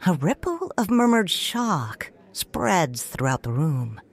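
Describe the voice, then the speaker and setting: A woman narrating a first-person audiobook story in a measured, solemn and expressive way. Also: low voice, creaky.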